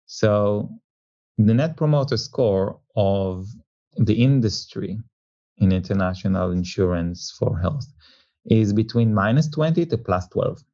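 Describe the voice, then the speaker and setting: A man's voice: fairly low pitch, lively intonation, slow pace, mellow, dry recording, muffled recording. A man who is not a native German speaker, a company executive giving earnest interview answers that mix English and German words. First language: not German; father is German. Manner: earnest, repeats words, searches for phrasing. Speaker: insurance company executive guest. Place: office in Hamburg.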